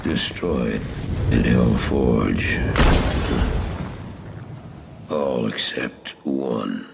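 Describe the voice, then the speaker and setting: An actor playing a grave old storyteller in a game cinematic, recounting things slowly. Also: male voice, very deep voice, vocal fry, heavy background noise, full character voice. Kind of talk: dramatic game cinematic acting.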